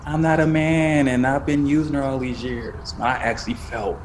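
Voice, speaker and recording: A man's voice: medium pitch, fairly even intonation, normal speed, a little hiss or hum, close to an everyday voice. A man acting in an audio drama as a conflicted young man casually confiding his troubles to a friend. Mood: uneasy. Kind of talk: naturalistic scripted audio drama.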